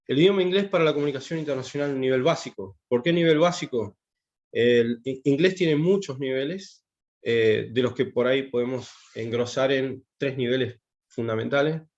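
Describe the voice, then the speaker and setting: A man's voice: medium pitch, normal speed, muffled recording. A man, a taekwondo instructor giving an online class, hesitant and full of fillers and restarts. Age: 50s. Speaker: taekwondo instructor teaching English.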